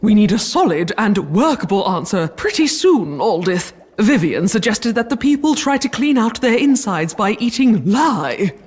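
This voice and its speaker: A woman playing a brisk, exasperated medieval queen in a comic audio drama, stern and articulate. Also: mid-range voice, very animated, darkish tone, breathy edge. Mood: weary exasperation.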